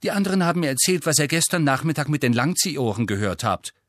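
A male narrator voicing a brisk, sensible, bossy teenage schoolgirl with a lecturing manner in an audiobook. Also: a little high, very animated, a bit bright, dry recording, studio quality, voice pushed up. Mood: impatient, sharp.

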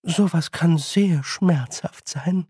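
A man's voice: high-pitched, lively intonation, a little slow, no room sound, clean studio sound, pitched above the performer's own voice. A man voicing a haughty fairy queen in a storybook, condescending and sweetly menacing. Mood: sweetly threatening. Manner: condescending, silkily threatening.

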